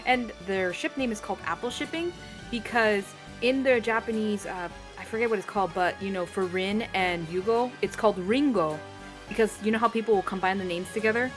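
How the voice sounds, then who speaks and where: A young woman joining a relaxed group chat, with faint music underneath. She explains things in a chatty, halting way and leans on fillers. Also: medium pitch. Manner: hesitant, chatty, with frequent fillers. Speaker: co-host. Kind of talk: casual chat ranking anime couples.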